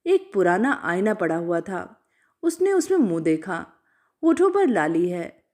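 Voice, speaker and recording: A woman storyteller narrating a literary story from a script in an expressive way. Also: mid-range voice, measured pace.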